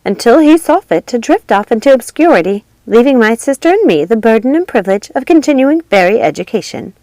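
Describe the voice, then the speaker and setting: A stately elderly fairy godmother, as a woman reader puts her on, speaks in grand, formal tones like a headmistress giving a ceremony address. Her voice is lifted higher than a plain reading voice and projected. The recording is close and dry. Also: very animated, a little fast, mellow, no echo. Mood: ceremonious.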